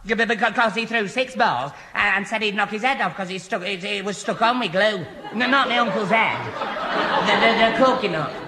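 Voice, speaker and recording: A man's voice: high-pitched, brisk, noisy background, lightly acted. A man playing a gormless, eager young fellow, stammering and muddling his words in a radio sitcom. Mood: flustered, eager. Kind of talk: old radio sitcom acting. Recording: reverberant old radio comedy recording.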